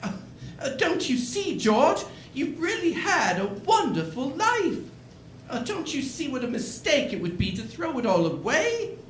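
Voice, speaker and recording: A woman's voice: high voice, very animated, a little slow, slight background noise. A woman in a live 1940s-style radio play, playing a kindly, bumbling elderly angel who is meek, hesitant and flustered. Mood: gently solemn. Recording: roomy stage sound with faint music.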